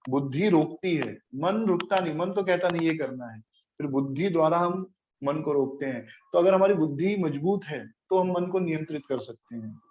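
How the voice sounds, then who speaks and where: A man with a fairly high voice gives a patient spiritual lecture, stopping often to ask his listeners questions and check that they follow. He slips English terms into his talk. The recording is dull and lo-fi, with a roomy echo and a faint hiss underneath. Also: lively intonation, normal speed. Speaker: spiritual teacher. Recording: echoey room, dull lo-fi sound, faint noise.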